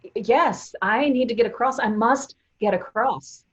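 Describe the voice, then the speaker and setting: Woman acting a husky, determined, grieving underworld traveller. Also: on the high side, a little slow, highs cut off. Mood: desperate. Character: determined grieving woman journeying through land of the dead. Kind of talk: staged reading with dramatic narration.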